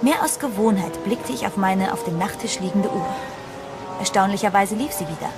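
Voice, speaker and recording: A woman's voice: mid-range voice, normal speed, natural voice. Actress as an uneasy young woman, music behind. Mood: reflective, uneasy. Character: sensible, uneasy young woman, narrating heroine. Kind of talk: horror audio drama narration and dialogue.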